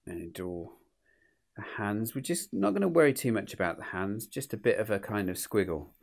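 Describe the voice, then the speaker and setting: A man's voice: lowish voice, lively intonation, normal speed, creaky, darkish tone. A man who sounds sniffly with a cold, chatting informally and unhurriedly to viewers as he talks through a drawing tutorial step by step, as an illustrator and host.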